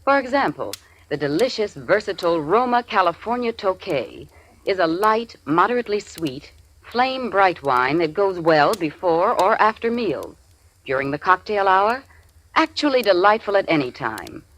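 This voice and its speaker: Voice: medium pitch, very animated, moderate pace, a bit bright, low-quality recording. A speaker reading a 1940s radio commercial in a genteel, enthusiastic way. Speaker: commercial spokesperson.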